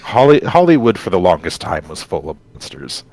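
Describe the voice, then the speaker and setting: A man with a low voice talks casually as a podcast co-host. He explains at length and wanders off into side stories in a conversational way. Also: very animated, fairly quick, creaky, darkish tone. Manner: rambling, explanatory, digressive storytelling.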